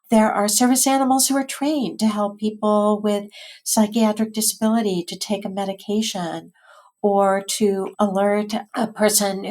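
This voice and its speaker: A woman with an American accent giving articulate, measured explanations as an expert guest in an interview. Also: medium pitch, a little mellow. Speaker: expert guest, physician and health policy researcher.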